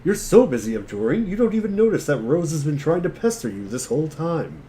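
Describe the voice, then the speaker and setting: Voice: mid-range voice, very animated, fairly quick, warm tone, slight background noise. An amateur reader narrating a webcomic in the second person, with a wry delivery.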